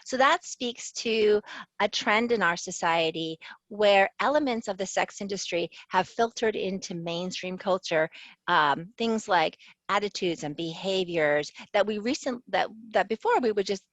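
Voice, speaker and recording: A woman's voice: mid-range voice, moderate pace, dry recording. A woman sociology professor from the American South, answering interview questions earnestly and thoughtfully, often laughing. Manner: earnest, thoughtful, laughs easily, restarts phrases. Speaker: sociology professor and author, guest.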